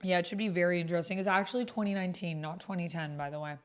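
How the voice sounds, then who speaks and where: A woman with a slightly low voice hosts a casual livestream. She moves between reading messages and prompts aloud and commenting on them in a chatty, conversational way. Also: steady tone, rapid-fire, clear, resonant tone. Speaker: livestream host and tabletop roleplay player.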